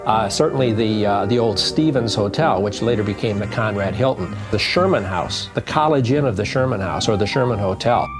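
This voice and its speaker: Low-voiced older man over music. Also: lively intonation, a little fast, few pauses. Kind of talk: documentary interview reminiscence.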